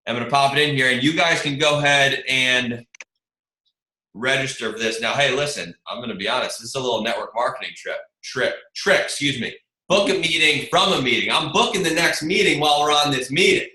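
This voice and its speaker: Guy running a casual sales webinar on a video call, bright voice, echoey room, chatty and rambly. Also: medium pitch, brisk. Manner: chatty, rambling, informal, addresses listeners as you guys. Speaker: marketing presenter hosting a team webinar. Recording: roomy echo, faint noise, clicks.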